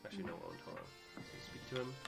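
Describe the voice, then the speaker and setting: A man's voice: very fast, fairly bright tone, faint music behind. A man hosting a game playthrough, narrating scenes and explaining the rules.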